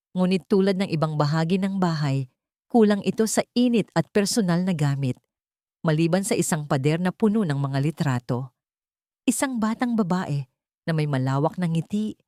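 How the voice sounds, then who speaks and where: A woman tells a dramatic story in a lowish voice. Her pitch moves animatedly through the scripted narration. The recording is dry and close. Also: darkish tone, no room sound. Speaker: audiobook narrator.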